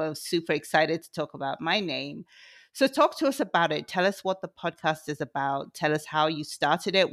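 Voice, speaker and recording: Low-voiced host, encouraging. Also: female voice. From England. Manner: warm, encouraging questions with repeated prompts. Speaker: podcast host. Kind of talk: casual podcast interview.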